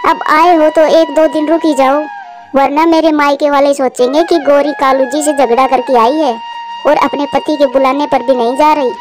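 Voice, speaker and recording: A bossy, scheming crow wife played by a woman talks in a high, sharp-tongued way. This is cartoon dialogue over clearly audible music. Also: steady tone, moderate pace, close to an everyday voice. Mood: scolding.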